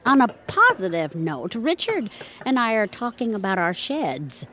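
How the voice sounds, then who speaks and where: A woman's voice: medium pitch, sing-song, unhurried, a bit bright. A woman playing a sardonic, grumbling married woman in an audio comedy, speaking dryly. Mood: nosy, frazzled. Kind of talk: audio theatre comedy acting.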